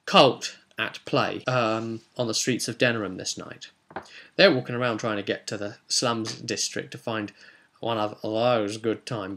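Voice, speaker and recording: A man's voice: lowish voice, fairly bright tone. A man rambling hesitantly as a game master, casually recapping a tabletop role-playing session and often correcting himself.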